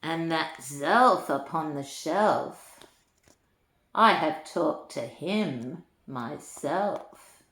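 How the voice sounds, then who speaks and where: Older woman reading slowly, echoey room. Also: sits low, animated, a bit bright. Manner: unhurried, lilting, talking to young children. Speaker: grandmother reading a storybook to children. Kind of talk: read-aloud children's rhyming book with chatty asides.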